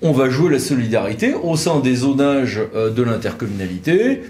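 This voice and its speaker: A man's voice: mid-range voice, very animated, normal speed, a little mellow. A man giving a conversational legal explainer, didactic, repeating words for emphasis. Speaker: legal expert presenter. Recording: echoey room with light noise.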